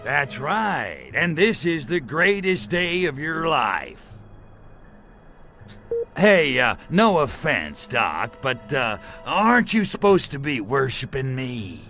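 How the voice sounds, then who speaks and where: A wisecracking alien invader for a video game. The voice is bright and swings widely in pitch, full of impatient, sarcastic swagger. Music plays underneath. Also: male voice, very animated, takes it slowly, full character voice. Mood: cocky. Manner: sarcastic, swaggering, slangy delivery. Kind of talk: video game cutscene voice acting.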